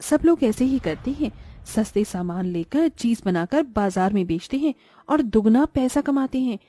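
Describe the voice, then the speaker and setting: A crafty, greedy cartoon mother, voiced by a woman talks persuasively in a mellow, fairly high voice. The recording is dry, with music behind. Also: lively intonation, normal speed. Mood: crafty, self-justifying. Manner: self-justifying, persuasive. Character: greedy, crafty young mother selling street food.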